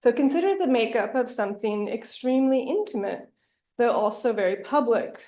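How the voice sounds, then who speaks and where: A woman giving an academic lecture, a little hoarse from bronchitis, thoughtful and pausing often with ums. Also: on the high side, expressive, warm tone. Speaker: guest lecturer. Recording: echoey lecture room.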